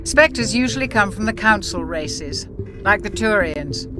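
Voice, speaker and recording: An actress playing a calm, measured female ship's doctor in a video game. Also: medium pitch, over music, lightly acted. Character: level-headed female ship doctor. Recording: game audio with score.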